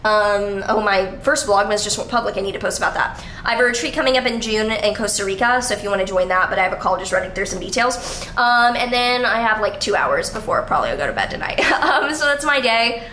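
Young woman, high bright voice, casual rambling vlog delivery full of fillers, recorded on an arm's-length camera in a roomy apartment. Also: expressive, fast, a little hiss or hum. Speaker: vlogger. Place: apartment living room. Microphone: handheld camera at arm's length. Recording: echoey room with faint ambience.

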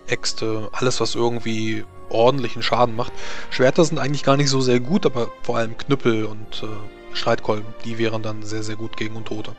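A man running a tabletop game as game master, explaining rules in a measured way with many hesitations. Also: on the low side, little pitch movement, faint music behind.

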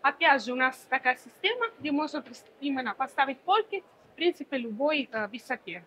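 A woman's voice: high voice, lively intonation, a little fast, fairly bright tone, slight background noise, poor audio. A woman in her thirties presenting furniture at a fair stand, explaining fluently with many small fillers. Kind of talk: product demonstration.

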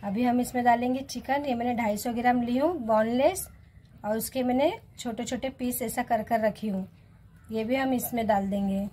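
A woman's voice: on the high side, normal speed, dark tone. A woman with an Indian accent giving a step-by-step recipe voice-over as a home cooking host. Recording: clearly noisy home recording.

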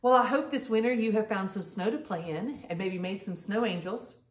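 A woman's voice: medium pitch, normal speed. Echoey middle-aged woman reading to children. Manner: addresses young listeners directly, with simple explanations and questions. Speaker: storytime host reading to grandchildren. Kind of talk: children's picture-book read-aloud with chatty asides. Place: living room at home, indoors. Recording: roomy echo, distant microphone.

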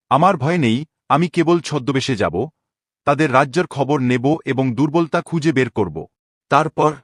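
Man acting a cold resolute cartoon king, male, fairly high voice, grave declamation, vengeful, dry recording. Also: no echo. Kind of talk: dramatic Bengali cartoon voice acting.

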